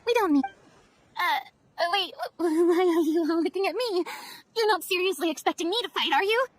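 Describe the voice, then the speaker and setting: A woman's voice: very high voice, fairly quick. A woman voicing a proud, easily flustered young woman with dramatic, stammering bluster in a video game.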